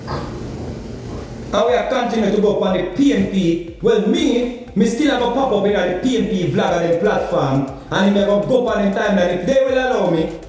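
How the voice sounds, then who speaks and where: A man's voice: sits high, expressive, normal speed, darkish tone. A middle-aged Jamaican man giving insistent political commentary into a phone held at arm's length indoors, pressing his points with rhetorical questions and repetition. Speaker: political vlogger. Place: indoor kitchen or room. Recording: echoey room, far from the mic, faint music.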